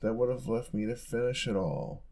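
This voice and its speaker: A man's voice: mid-range voice, lively intonation, normal speed, gravelly, darkish tone. A young man playing a caring, teasing college roommate in an intimate, casual audio roleplay. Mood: gentle, caring.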